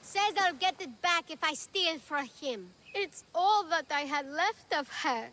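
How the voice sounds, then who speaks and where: A woman's voice: high voice, expressive, a little slow, sharp tone, exaggerated voice. A woman voicing a young street thief girl, blunt and defiant.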